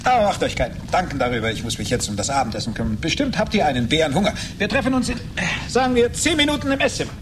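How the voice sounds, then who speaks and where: An actor playing a smug middle-aged host with playful menace in a German radio drama, mock-friendly and taunting. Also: male voice, fairly high pitch, sing-song, very fast, hardly any pauses, noisy background. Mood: mock-genial.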